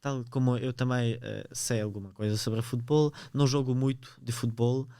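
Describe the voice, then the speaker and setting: A man's voice: medium pitch, steady tone, moderate pace, warm tone, no echo, clean studio sound. A teenage boy from Ukraine with a Ukrainian accent, a podcast guest answering hesitantly and searching for words in a language that is not his own. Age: teens. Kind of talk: podcast interview.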